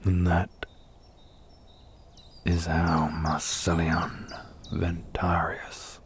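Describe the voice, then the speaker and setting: A man playing a brooding dark fantasy prince who is tender with his lover, in an intimate, hushed ASMR roleplay, gravelly and at times whispering. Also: sits low, full character voice. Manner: slow, hushed, deliberate with long pauses.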